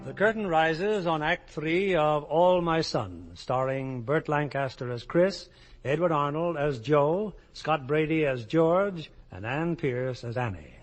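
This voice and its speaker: Old time radio announcer guy, slightly high voice, formal narration, music behind. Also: lively intonation, measured pace.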